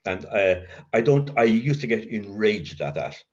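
An Irish man sharing his recovery story, halting, with stammer blocks and many restarts. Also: on the low side, sing-song, darkish tone, highs cut off. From Ireland. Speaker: speaker at a recovery meeting. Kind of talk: personal recovery share.

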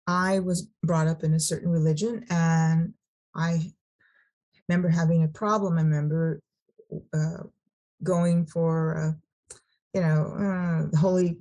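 A middle-aged woman from Sacramento giving a personal testimony, talking haltingly with many restarts and repeated words. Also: fairly low pitch, a little mellow. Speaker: recovering alcoholic sharing her story.

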